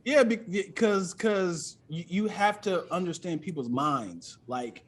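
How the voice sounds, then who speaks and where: A man with a high voice chats casually as a comedian guest on a podcast. His pitch moves around in a lively way, and he swears freely while stumbling over repeated words as he builds a thought. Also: takes it slowly, a crowd in the background. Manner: animated, profane, stammering with repeated words.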